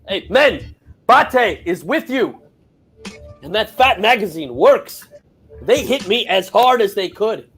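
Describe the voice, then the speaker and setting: Brief: male actor, coarse fan telling a brawl story, slow. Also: high-pitched, slightly rough, full character voice. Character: rowdy male football fan protester. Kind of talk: narration.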